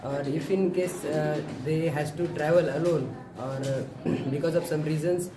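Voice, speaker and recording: A man's voice: on the high side, steady tone, a little slow, music in the background. A teenage male student with an Indian accent giving an answer in class. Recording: echoey classroom with crowd murmur.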